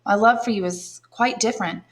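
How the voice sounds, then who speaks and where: A woman reading aloud, voicing a devoted young woman in a soft, pleading tone. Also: mid-range voice, brisk, voice pushed up, close to an everyday voice.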